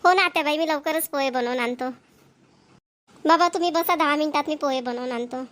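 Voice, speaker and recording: A woman's voice: high-pitched, a little fast, a bit bright. A woman playing a cheerful, dutiful young married daughter in a cartoon, with a rural Maharashtrian Marathi accent and an eager, exclaiming manner. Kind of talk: Marathi cartoon family comedy voice-over.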